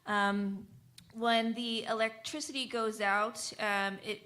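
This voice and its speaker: A woman's voice: a little high, level tone, takes it slowly, pushed and bright. A woman speaking informatively and off the cuff as a community radio panelist in a group discussion. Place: live panel discussion at a venue.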